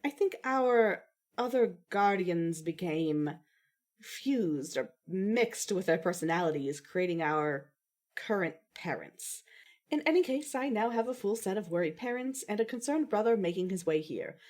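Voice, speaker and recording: A woman's voice: mid-range voice, animated, unhurried, darkish tone, close to an everyday voice. A woman playing a composed, dry-witted young daughter with a formal, slightly wry manner in amateur audio fiction.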